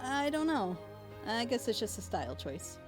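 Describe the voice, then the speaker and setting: A woman's voice: a little high, big pitch swings, normal speed. A woman giving casual, opinionated and emphatic reaction commentary. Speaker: reaction video host, critic. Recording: loud music behind the voice. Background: loud music.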